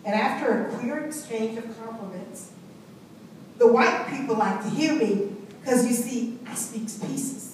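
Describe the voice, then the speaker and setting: A woman performing a dramatic monologue as a spirited, proud young Southern woman, with a deliberate, emphatic and expressive delivery. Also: a little high, lightly acted. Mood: playful, proud. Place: live reading in an echoing indoor venue. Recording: echoey room, distant mic, faint noise.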